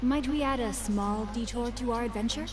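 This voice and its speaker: Actress as a high-voiced, bookish young woman. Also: moderate pace, a crowd in the background, close to an everyday voice. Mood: polite. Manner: polite, formal, exact. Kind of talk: video game voice acting.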